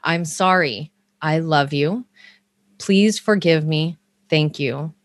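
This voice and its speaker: A woman's voice: low voice, slow pace, no room sound. A woman from Hawaii co-hosting a podcast, chatting casually in a rambling way, often restarting and laughing. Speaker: podcast co-host. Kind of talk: casual chat.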